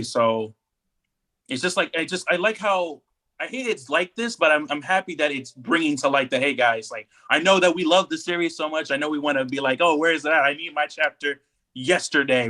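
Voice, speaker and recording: A man's voice: medium pitch, pushed and bright. A man co-hosting a podcast in casual, enthusiastic chat, talking emphatically and repeating himself to make a point. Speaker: podcast co-host.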